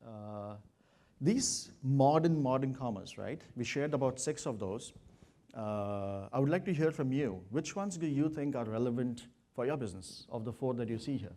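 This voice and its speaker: A man presenting at a business conference and addressing his audience, pausing often with "uh". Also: medium pitch, animated, warm tone. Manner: measured, many "uh" fillers. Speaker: consulting presenter.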